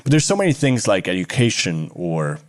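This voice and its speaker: A man's voice: low-pitched, big pitch swings, fairly quick, dry recording, clean studio sound. A man from Switzerland, speaking reflectively as an interview guest.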